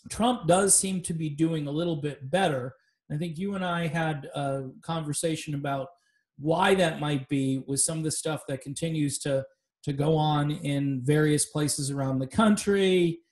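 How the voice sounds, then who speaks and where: A man's voice: fairly high pitch, moderate pace, darkish tone. A man hosting a casual political podcast chat, talking loosely and wandering off on tangents. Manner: loose, rambling, tangent-prone. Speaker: podcast host.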